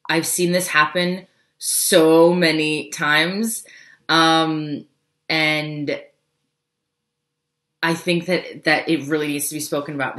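A young woman with a cold and a scratchy, hoarse voice, chatting casually on a livestream as a business coach, rambling with quick asides. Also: low voice, fairly bright tone, reverberant. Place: home office, indoors.

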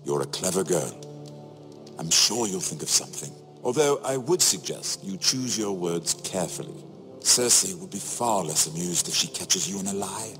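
An actor playing a wry, shrewd middle-aged imprisoned nobleman in a video game, sardonic and theatrical, phrasing each remark deliberately. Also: low voice, lively intonation. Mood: dry, cautioning. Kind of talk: dramatic video game dialogue. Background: music.

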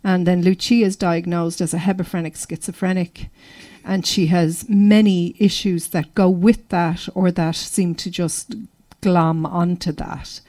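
A woman's voice: on the low side, steady tone, measured pace, hardly any pauses, dark tone. A middle-aged Irish woman giving a conversational literary talk as an author. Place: indoor library room before a seated audience.

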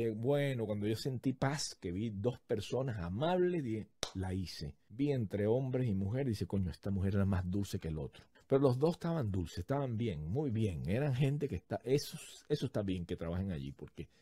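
A man in his thirties with a Venezuelan accent tells a story on his podcast with lively, joking energy. His voice sits low and mellow with a husky edge. The sound is dry and close, from a large studio mic in a small home studio. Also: no echo. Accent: Venezuelan Spanish. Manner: lively, jokey, acts out the people in his story with big gestures. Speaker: podcast host. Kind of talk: animated storytelling monologue. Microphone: large studio condenser mic, headphones.

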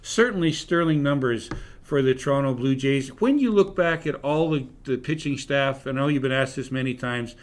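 Sports talk host, male, older, medium pitch, rambling and conversational, studio mic. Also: normal speed. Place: indoor broadcast studio. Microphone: desk broadcast microphone.

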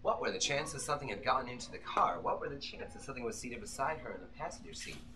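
A man's voice: medium pitch, big pitch swings, fast, heavy background noise. A man in his thirties giving an intense, theatrical live reading of a novel as its narrator. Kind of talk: dramatic narration, radio-play style live reading. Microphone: audience camera a few meters away. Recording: live recording with loud music over it. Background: loud violin accompaniment.

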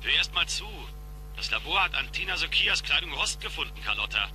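An actor playing a stern police investigator in a radio crime drama, speaking urgently and insistently through a telephone effect. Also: male voice, a little high, sing-song, brisk, over loud music.

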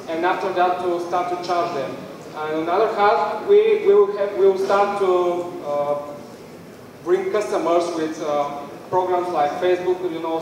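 Echoey high-voiced young Bulgarian man presenting. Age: young adult. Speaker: startup team presenter. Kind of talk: live pitch presentation. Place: indoor event room with stage area. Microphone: handheld mic, recorded by far camera. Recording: very echoey hall, distant mic, faint noise.

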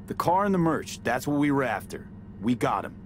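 A man's voice: mid-range voice, sing-song, a little fast, close to an everyday voice. A man playing a pragmatic, streetwise mercenary in a video game, talking in a dry, curt, sardonic way. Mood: exasperated. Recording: loud vehicle noise and game ambience.

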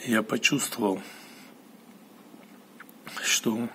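A man giving a personal monologue, recounting an experience solemnly in short, halting phrases. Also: lowish voice. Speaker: storyteller recounting a mystical experience. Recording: clear steady background noise.

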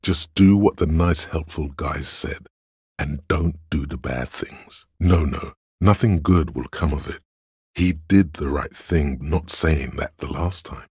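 A man voicing Logic as the calm, cerebral inner voice of a detective in a video game, measured, dry and analytical, with a raspy voice. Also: no room sound, full character voice. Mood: coolly coaching. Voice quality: very deep, gravelly rasp.

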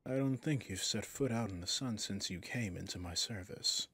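A man playing a possessive villain boss in an intimate ASMR roleplay, speaking formally and commandingly yet indulgently, in a husky, breathy voice. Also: on the low side, moderate pace, sharp tone, no room sound, full character voice. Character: smooth possessive male villain boss, doting on favorite servant.

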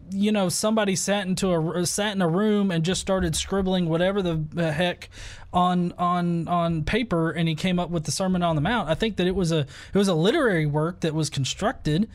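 A man in his thirties hosting a casual debate on a video call, halting and repeating words as he argues his points. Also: sits high. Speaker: host of an atheist debate show. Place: small furnished room at home, video call.

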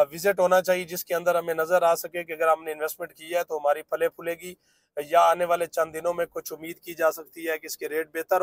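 A man's voice: fairly high pitch, dry recording. A man giving a fluent, salesy narrated site tour from inside a moving car. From Pakistan. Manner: fluent salesman patter with filler phrases. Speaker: real estate vlogger.

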